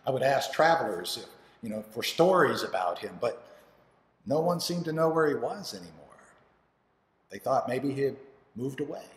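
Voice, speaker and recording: A man acting a devoted, grieving father in a dramatic monologue, telling of his lost son haltingly and earnestly, with dramatic pauses. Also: medium pitch, lively intonation, lightly acted. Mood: wistful. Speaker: actor performing an audition monologue. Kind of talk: dramatic first-person monologue. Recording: echoey hall with long reverb.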